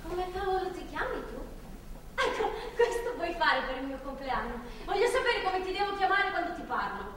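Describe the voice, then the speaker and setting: An actress playing a captive young pregnant woman in a stage drama, bitter, sarcastic and foul-mouthed, speaking in agitated, halting repetitions. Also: very high-pitched, big pitch swings, a bit bright, full character voice. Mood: scornful, angry. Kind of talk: theatrical stage drama acting. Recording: echoey, distant theatre recording, faint noise.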